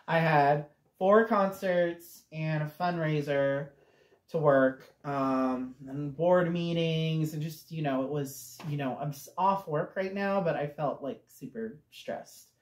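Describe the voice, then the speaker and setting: A woman co-hosting a casual chat, her voice raspy and hoarse as it recovers, chatty and rambling with frequent laughs. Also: low voice, slow, darkish tone, roomy sound. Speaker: co-host.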